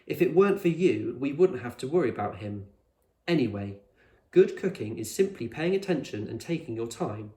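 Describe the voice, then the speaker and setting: A man voicing a prickly, haughty young girl with a brisk, dry manner in a storybook, in an echoey room. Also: medium pitch, big pitch swings, warm tone, lightly acted.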